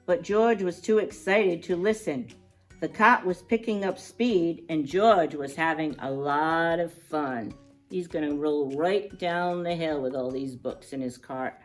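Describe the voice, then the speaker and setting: A woman reads a children's picture book aloud at an unhurried pace, in a slightly low voice. She stretches key words expressively and speaks to her young listeners in chatty asides. Soft music is present.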